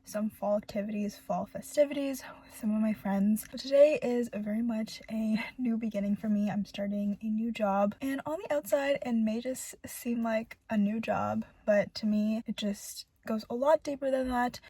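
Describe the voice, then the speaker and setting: A woman's voice: on the high side, fairly even intonation, moderate pace, few pauses, mellow, breathy edge, dry recording. A woman in her twenties vlogging to her camera, chatty, upbeat and candid, sometimes turning reflective. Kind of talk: casual chatty vlog.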